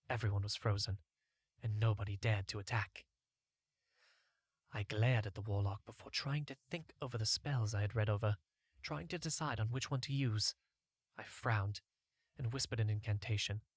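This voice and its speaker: A man's voice: on the low side, slightly rough, dry recording, studio quality. A man reading a scripted first-person story as an audiobook narrator.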